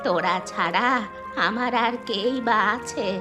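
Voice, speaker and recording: A woman's voice: medium pitch, a little slow, close to an everyday voice. A woman plays a poor old village granny in a cartoon fairy tale. She is plaintive and kind, fretting and lamenting over her hardships, with music underneath. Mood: worried, weary. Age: older.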